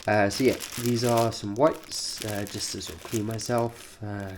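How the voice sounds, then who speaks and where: A man speaks in a fairly low, nasal voice, congested from a cold. He takes his time, moving very slowly through short, halting phrases. The delivery is informal and offhand, like a vlogger showing his gear. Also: steady tone, noisy background. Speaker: cyclist presenting his race kit. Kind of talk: informal vlog, kit walkthrough.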